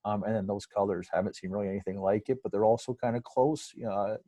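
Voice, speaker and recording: A man's voice: fairly low pitch, fairly even intonation, fast, dark tone, no room sound. A man giving a casual, rambling sports interview as a guest broadcaster, in a hesitant, conversational way.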